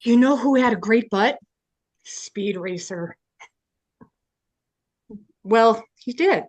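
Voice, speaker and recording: A woman's voice: fairly high pitch, lively intonation, takes it slowly, darkish tone. A woman playing a quirky, spacey, earnest young woman in a sitcom script read.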